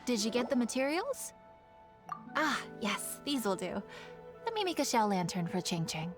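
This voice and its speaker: A woman's voice: on the high side, big pitch swings, normal speed, over music. A woman voicing a courteous, caring, responsible townswoman in a video game. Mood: businesslike. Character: kindly, responsible townswoman running festival preparations.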